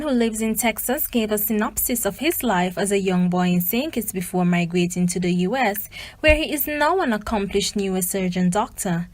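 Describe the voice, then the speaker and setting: TV news reporter, female, medium pitch, delivering scripted narration.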